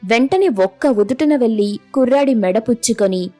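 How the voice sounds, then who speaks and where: A woman's voice: fairly high pitch, expressive, moderate pace, mellow, soft background music. A woman storyteller narrating a scripted moral tale.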